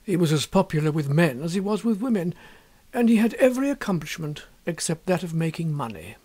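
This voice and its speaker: A man reading a literary story as an audiobook narrator, in an expressive scripted style. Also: a little high, slightly rough.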